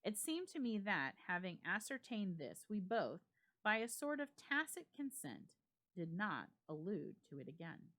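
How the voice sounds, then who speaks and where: A woman giving a dramatic, measured reading of a classic ghost story, with emphatic phrasing. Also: medium pitch, sing-song, a bit bright. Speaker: library staff reader.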